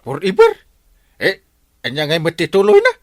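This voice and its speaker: A man with an Ilocano accent playing an excitable, stammering, blustering village captain in a radio comedy. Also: sits high, moderate pace, no room sound, clean studio sound. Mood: flustered. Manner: stammering, repeats short exclamations.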